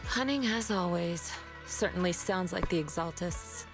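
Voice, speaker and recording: A woman playing a composed, commanding martial-arts master with a measured, quietly menacing manner in an anime-style game. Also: on the low side, soft background music. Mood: calm.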